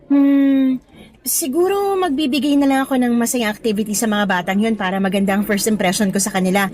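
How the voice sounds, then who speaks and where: High-voiced, cheerful young woman teacher played by an actress. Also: a little fast, close to an everyday voice. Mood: hopeful, friendly. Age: young adult. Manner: bright-spirited, chatty, appreciative. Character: cheerful young woman, nervous but hopeful new teacher. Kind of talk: conversational Tagalog radio drama acting.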